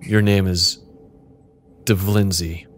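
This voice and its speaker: A man's voice: deep voice, little pitch movement, takes it slowly, faint music behind. A man hosting a podcast as game master, mixing casual chat with dramatic interactive fiction narration.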